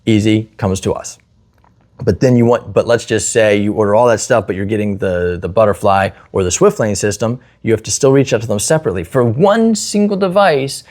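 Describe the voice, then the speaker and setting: Male host, low voice, casual explanatory talk, opinionated, works through examples. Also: brisk, darkish tone. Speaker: host, security installer.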